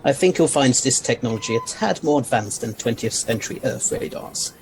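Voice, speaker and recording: A man playing a proud, eccentric alien scientist and time traveler in an audio drama, gravelly and formally courteous. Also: mid-range voice, animated, a little fast, noisy background. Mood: courteous, absorbed.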